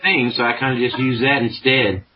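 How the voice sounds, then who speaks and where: A man's voice: mid-range voice, brisk, highs cut off, faint music behind. Laid-back, profane man guest. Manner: laid-back, profane, rambling with many fillers. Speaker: podcast guest, show promoter. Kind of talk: casual chat.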